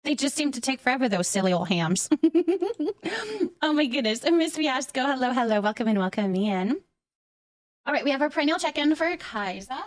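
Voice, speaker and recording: A woman's voice: high-pitched, lively intonation, brisk, dry recording, studio quality. A woman livestreamer chatting casually with her viewers, effusive and affectionate, often repeating words and short phrases as she thanks them. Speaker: live streamer hosting a chat.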